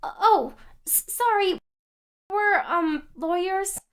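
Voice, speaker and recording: A woman playing an excitable, innocent but cheeky teenage girl assistant in a video game fan dub. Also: very high voice, slow pace, exaggerated voice. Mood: excited, nervous.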